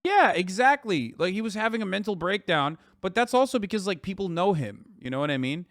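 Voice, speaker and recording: Guy ranting at his stream chat, kinda high pitched, swears a lot, keeps going "okay?", dead dry mic. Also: fairly quick, no echo. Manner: profane, animated lecturing to chat; rhetorical "okay?" asides. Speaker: live streamer. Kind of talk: live stream commentary reacting to tweets.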